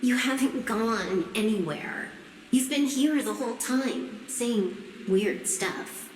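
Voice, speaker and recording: A woman's voice: on the high side, slow pace, a little hiss or hum. An actress voicing a cool, cautious young woman hacker and strategist in an anime game, dry and matter-of-fact. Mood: calm, dry. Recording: echoey room, music behind. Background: game music.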